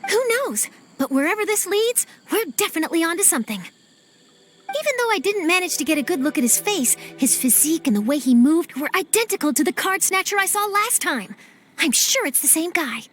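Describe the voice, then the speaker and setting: Eager game reporter, very high voice, animated, curious. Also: female voice, brisk, exaggerated voice. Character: upbeat curious young woman reporter. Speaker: journalist. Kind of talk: video game cutscene acting.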